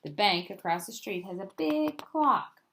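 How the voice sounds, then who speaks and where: Lady reading as kind practical nurse woman, reassuring, instructive, storybook style, animated pitch. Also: unhurried, gravelly, a little hiss or hum.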